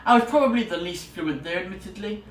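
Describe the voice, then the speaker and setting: A man's voice: sits high, fast. A young man, a student vlogger, chatting casually in an enthusiastic, rambling way. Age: young adult. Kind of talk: casual vlog to camera. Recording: roomy echo, distant mic, faint noise.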